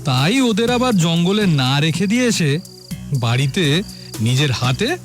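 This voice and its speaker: An actor playing a proud hunter and host who relishes his tales, in measured, suspenseful audio drama storytelling. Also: on the high side, sing-song. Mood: suspenseful, boastful. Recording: music behind, chirping crickets.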